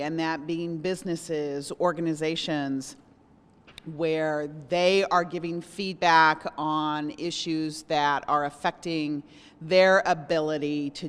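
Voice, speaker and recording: Woman reporting back in a reverberant hall. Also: low-pitched. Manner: measured, with frequent ums. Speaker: seminar table spokesperson. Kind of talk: reporting group discussion at a seminar.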